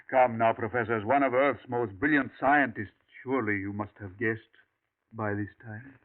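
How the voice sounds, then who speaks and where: An actor playing a suave alien posing as a ranch owner, with an unplaceable foreign accent and mocking, smooth politeness, in 1940s radio acting. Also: male voice, mid-range voice, a little slow, close to an everyday voice. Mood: silkily menacing. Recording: tinny old radio broadcast.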